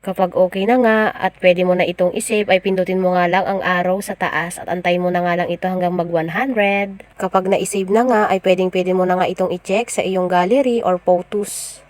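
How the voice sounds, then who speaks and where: A woman's voice: fairly low pitch, steady tone, normal speed, no echo. A woman with a Filipino accent giving a casual step-by-step tutorial voiceover as a vlogger.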